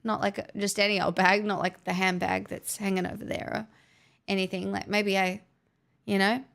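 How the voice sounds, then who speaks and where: A woman's voice: mid-range voice, flat delivery, moderate pace, creaky, fairly bright tone. A woman hosting a livestream, chatting casually with viewers in a playful, teasing way. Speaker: livestream host.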